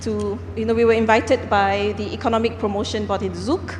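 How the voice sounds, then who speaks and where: A woman from Singapore speaks earnestly on a conference panel, often pausing on fillers and restarting her sentences. Her voice rings through a large hall, with a long reverb tail and faint noise behind it. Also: mid-range voice, flat delivery. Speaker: panel speaker, company founder. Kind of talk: panel discussion.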